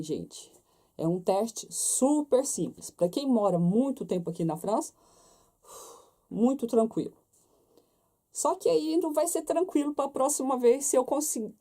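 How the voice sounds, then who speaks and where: Chatty Brazilian woman with a mellow voice. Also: on the high side. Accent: Brazilian Portuguese. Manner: chatty, talks straight to viewers with many tag questions. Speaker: vlogger. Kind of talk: casual chat to camera.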